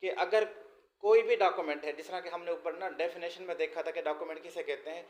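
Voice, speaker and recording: A man's voice: a little high, steady tone, fast, a little hiss or hum. A man with a Pakistani accent giving an exam lesson as a law lecturer, reading items out and then explaining them by repeating and rephrasing. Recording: echoey, roomy sound.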